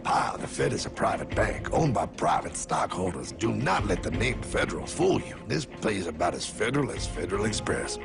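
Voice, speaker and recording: Cartoon wise guy, brash, condescending, creaky voice, wildly varied pitch, backing music. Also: sing-song, fairly quick, few pauses. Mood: emphatic. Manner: emphatic, condescending. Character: brash, know-it-all man explaining money to a naive pal. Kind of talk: animated cartoon dialogue and narration. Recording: music behind the voice.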